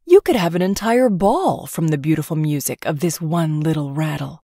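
A woman reads a children's storybook aloud as narrator. Her voice is a little low and very animated in pitch, recorded dry and close. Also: moderate pace, dry recording. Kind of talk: expressive scripted read-aloud of a children's fairy tale.

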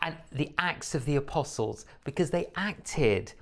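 An older man hosting an interview in a conversational, deliberate way, repeating phrases for emphasis and readily agreeing. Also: a little high, expressive, a bit bright. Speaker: interview host. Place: small indoor studio room.

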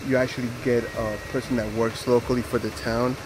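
A man in his forties talks straight to a handheld camera while walking around outdoors, loose and rambling, leaning on fillers and restarts. His voice sits at a middle pitch, and faint background noise runs under it. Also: little pitch movement, fairly quick. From United States. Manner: rambling, filler-heavy, friendly aside-style talk. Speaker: travel vlogger. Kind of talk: casual vlog narration to camera. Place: outdoors at a rainforest park.

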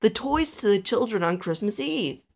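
A woman voicing a kindly old male elf in a Christmas storybook, with a hearty, gently coaxing manner. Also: mid-range voice, expressive, moderate pace. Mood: warm, inviting.

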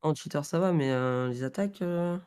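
A man's voice: fairly high pitch, moderate pace, noisy background. A man talking as server staff in a casual voice chat, colloquial and matter-of-fact. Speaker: game server staff member.

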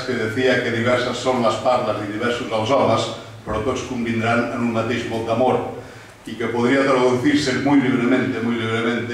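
A man in his fifties, a Catalan native, giving a measured talk as a guest speaker at a panel table, with many pauses and restarts. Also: medium pitch, slight background noise. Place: room with large windows, panel table. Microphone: desk mic on stand, filmed from across room. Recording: echoey room from a distance.

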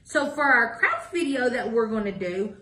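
A middle-aged woman reading a picture book aloud to young children in a deliberate sing-song way. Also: on the high side, animated, bright, forceful tone. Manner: sing-song, deliberate, asks listeners questions. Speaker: library story time reader. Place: small indoor room with block walls. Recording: echoey small room.

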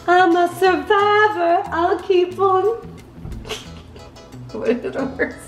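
A young woman giving a joking tour of her own home, self-mocking and deadpan, shifting between bright and flat delivery. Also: very high-pitched, slow. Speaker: vlogger. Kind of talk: comedic home tour vlog. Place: apartment, indoors. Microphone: camera mic, handheld and at distance. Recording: roomy apartment echo with music behind.

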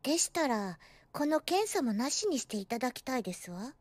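A woman's voice: high-pitched, big pitch swings, a little fast, dry recording. A woman playing a young girl in anime, cheeky and guarded, with affectedly ladylike, prim phrasing. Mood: polite, bright. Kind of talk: anime drama dialogue.

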